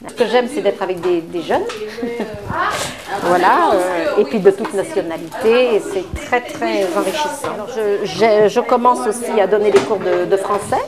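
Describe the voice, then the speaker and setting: A woman's voice: mid-range voice, normal speed. Woman, enthusiastic, emphatic repetition, personal testimony, very noisy crowd behind her. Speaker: community centre volunteer. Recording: busy room full of background chatter.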